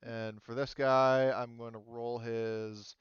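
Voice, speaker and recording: A man running a tabletop roleplaying game, talking casually through the rules and thinking aloud in a halting way. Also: mid-range voice, flat delivery, clear, resonant tone, no room sound, highs cut off. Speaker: game master.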